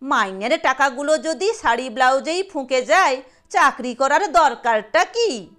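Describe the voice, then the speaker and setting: A woman's voice: sits high, animated, measured pace, fairly bright tone. A woman voicing a snide aunt who needles and gossips about her sister-in-law in an audio story. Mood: mocking. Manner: taunting, needling.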